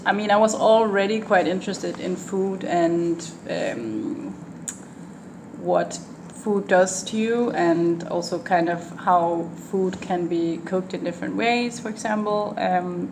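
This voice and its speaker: A Danish woman in her twenties with a Danish accent, a student answering interview questions in English in a thoughtful way, pausing often with ums and restarts. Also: mid-range voice, background noise. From Denmark. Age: 20s. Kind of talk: casual interview conversation.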